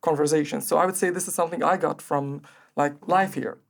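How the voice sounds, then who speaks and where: A man speaking as a guest in a casual panel conversation, animated and peppered with "like" fillers. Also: a little high, creaky. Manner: rapid, animated, frequent "like" fillers. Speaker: podcast guest.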